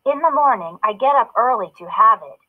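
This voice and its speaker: A woman's voice: on the high side, lively intonation, fairly quick. A woman narrating a children's story as a read-aloud, in a narrow phone-like recording.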